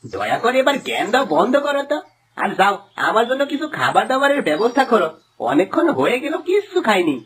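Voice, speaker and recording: A man's voice: very high-pitched, sing-song, quick pace, a bit bright, husky, exaggerated voice. A man voicing a sly, smug middle-aged village swindler in a cartoon fable, with a wheedling, theatrical delivery. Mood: dismissive. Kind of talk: Bengali cartoon fable voice acting.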